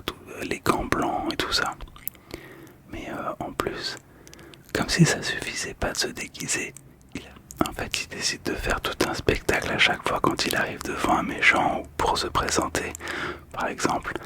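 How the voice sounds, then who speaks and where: Whispering man, soft ASMR storytelling. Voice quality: whispered, breathy. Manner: soft, calm, hesitant. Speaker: host of a relaxation video.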